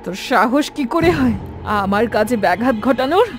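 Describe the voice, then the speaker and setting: A woman's voice: on the high side, lively intonation, moderate pace, faint music behind, natural voice. A woman voicing a menacing, imperious female apparition in a horror cartoon. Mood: furious, threatening. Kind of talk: dramatic horror cartoon acting.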